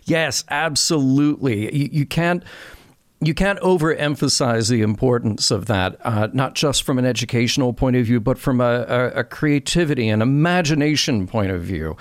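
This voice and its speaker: Articulate man, dry mid-pitched voice. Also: moderate pace, no echo. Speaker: podcast guest. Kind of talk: casual discussion.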